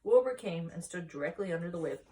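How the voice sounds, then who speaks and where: A woman's voice: fairly low pitch, animated, fast, mellow. Woman reading a storybook, slightly distant. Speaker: story-time reader. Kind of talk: scripted children's storybook narration. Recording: voice distant from the mic.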